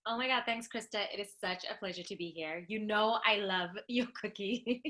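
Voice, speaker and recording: A woman's voice: medium pitch, moderate pace. A woman from Zimbabwe, a nurse practitioner and lactation consultant talking enthusiastically as a podcast guest. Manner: enthusiastic, animated, laughs often. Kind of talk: casual podcast interview.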